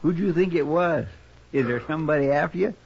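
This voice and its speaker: A gravelly anxious frontier sidekick in an old radio western. He is folksy, deferential and hesitant. The recording is muffled with some hiss. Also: fairly high pitch, a little fast, a little mellow. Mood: startled, apologetic. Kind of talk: 1950s radio western acting.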